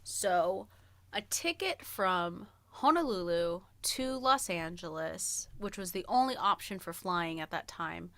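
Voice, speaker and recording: A woman in her thirties with a bright, forward voice, chatting casually as a podcast co-host. She takes her time over the story and is playful and self-deprecating, in a dry, close-sounding recording. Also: lowish voice, animated, slow, no echo. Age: early 30s.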